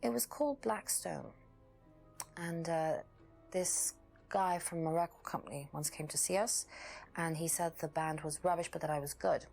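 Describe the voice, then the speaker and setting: A woman's voice: low voice, moderate pace, creaky, darkish tone, soft background music. A woman playing a guarded, uneasy young witness in a mystery game, speaking hesitantly and defensively. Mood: reminiscing. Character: guarded young female witness, uneasy former band singer. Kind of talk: acted dialogue in an FMV mystery game.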